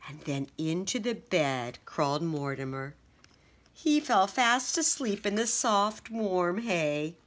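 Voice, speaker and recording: Slow, expressive woman reading a picture book. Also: fairly low pitch. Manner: expressive, gentle storytelling. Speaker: teacher reading aloud. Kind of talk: children's picture book read-aloud.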